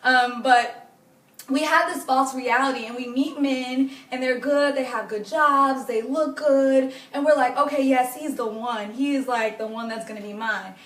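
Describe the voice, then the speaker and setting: A young woman with a hoarse, raspy voice, chatting earnestly with viewers during a live stream from her home. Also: sits high, measured pace, a bit bright, recorded from a distance. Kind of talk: casual faith-based advice talk to viewers. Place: home living room. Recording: echoey room, voice off-mic.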